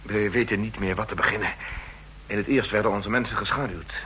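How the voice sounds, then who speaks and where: A man's voice: lowish voice, fairly quick, sharp tone, husky, full character voice. An actor playing an earnest, worried male official seeking help in a radio drama. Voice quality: earnest, anxious. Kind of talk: vintage radio drama acting.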